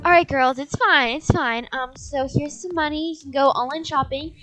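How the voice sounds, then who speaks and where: A kind adoptive mother, played by a woman in a game roleplay. She speaks in a high, chipper, accommodating way over faint music. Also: moderate pace, a bit bright. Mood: placating. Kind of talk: improvised video game roleplay.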